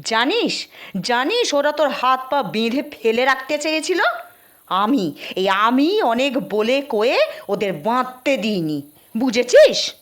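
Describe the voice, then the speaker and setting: A woman playing a desperate, hot-headed mother in an audio story, sharp-tongued and menacing with emphatic delivery. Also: on the high side, very animated, slow pace. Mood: scolding. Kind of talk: Bengali audio story acting.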